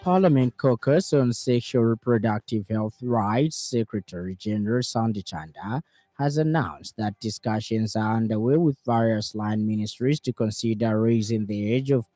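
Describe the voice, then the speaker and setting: A man reading a scripted radio news bulletin in a formal, measured way. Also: on the low side, dark tone, dry recording. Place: radio studio.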